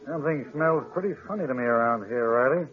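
An actor playing a suspicious police inspector with a blunt, colloquial manner in a 1940s radio drama. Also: male voice, medium pitch, fast talker, natural voice. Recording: thin, tinny old radio recording.

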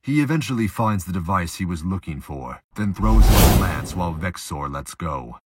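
Deep-voiced man narrating an audio drama. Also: animated, normal speed, heavy background noise.

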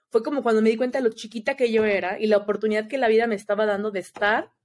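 Chatty young Mexican woman, fairly high voice. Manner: animated, chatty, often adds "you know?" tags. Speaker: guest. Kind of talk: casual storytelling in an interview.

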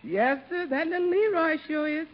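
A folksy, good-natured housekeeper in a 1950s radio comedy. She is deferential, with a very lively rise and fall. The vintage recording sounds dull. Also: very high voice, normal speed. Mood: busy, cheerful.

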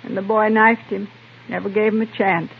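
Creaky, blunt frontier wife. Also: female voice, mid-range voice, level tone, normal speed. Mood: bitter, stoic. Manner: blunt, folksy, clipped phrases. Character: hard, plain-spoken frontier homestead wife, bitter and stoic. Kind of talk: old-time radio western acting. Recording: narrow-band vintage radio recording.

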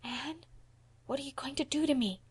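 Lady doing a little boy for an audiobook, high voice, blunt n earnest, mischievous, kiddy bad grammar. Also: expressive, normal speed, close to an everyday voice. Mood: miserable, pleading. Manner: childish ungrammatical speech, emphatic. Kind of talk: audiobook character voice.

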